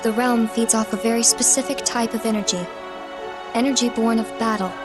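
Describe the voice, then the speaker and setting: A woman performs a young goddess of order for a game cutscene. Her voice is fairly high and she speaks formally, with earnest authority, over prominent music. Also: steady tone, a little fast, natural voice. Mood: stately, proud. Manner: formal, stately diction. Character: solemn young goddess of harmony, earnest and imperious. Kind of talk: video game cutscene acting.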